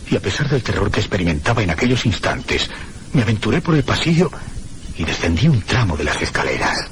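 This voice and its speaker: An actor playing a frightened man who recounts a night of eerie terror in a suspenseful, theatrical radio drama narration with deliberate pauses. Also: lowish voice, sing-song, slight background noise. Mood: tense, hushed suspense.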